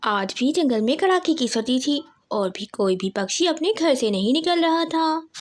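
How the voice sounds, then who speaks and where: A woman narrating a scripted children's cartoon story in an expressive, dramatic storytelling manner. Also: sits high, moderate pace.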